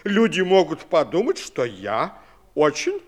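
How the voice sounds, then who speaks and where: A man's voice: a little high, wildly swooping pitch, a little slow, full character voice. An actor playing a fussy, self-important, grumbling middle-aged husband and father in a 1960s radio play. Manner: self-important, fretful, theatrical.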